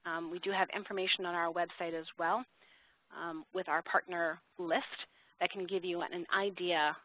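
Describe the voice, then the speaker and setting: Low-pitched woman on a tinny phone line. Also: flat delivery, normal speed, no echo. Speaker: webinar presenter. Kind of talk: informal product presentation answering questions.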